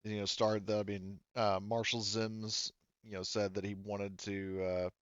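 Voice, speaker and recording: A man running a tabletop roleplaying game, improvising narration and character dialogue in a casual, halting way. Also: on the low side, level tone, dry recording, muffled recording. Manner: casual, halting, thinking aloud. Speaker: game master.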